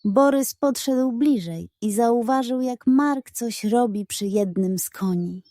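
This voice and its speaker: Woman, children's story narrator, unhurried pace, soft mellow tone, dry close sound, expressive reading. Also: a little high, no room sound. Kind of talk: scripted story narration, expressive read-aloud.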